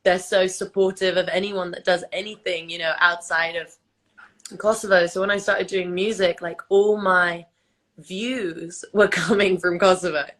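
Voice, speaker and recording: A woman's voice: fairly low pitch, steady tone, normal speed, fairly bright tone. A young woman from England whose first language is Albanian, chatting casually on a phone livestream, full of fillers and restarts. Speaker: host of a livestream chat. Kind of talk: casual chat. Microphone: phone on a video livestream.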